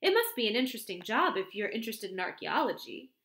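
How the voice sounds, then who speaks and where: A woman voicing a gentle, gravely polite young vicar's wife in a storybook reading. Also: a little high, sing-song, quick pace. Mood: mild. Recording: roomy echo.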